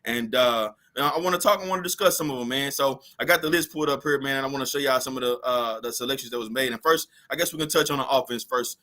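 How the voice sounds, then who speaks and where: A slightly hoarse man co-hosting a casual sports podcast, loose and repetitive, full of "you know what I mean.". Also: medium pitch, fast, few pauses, bright, forceful tone.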